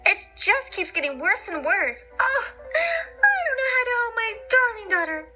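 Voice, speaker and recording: A woman acts out a distraught mother in a storybook. Her high voice swings dramatically as she frets and laments tearfully, music playing behind. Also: big pitch swings. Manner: crying, drawn-out lamenting. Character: Mrs. Cream, distraught, fretful mother.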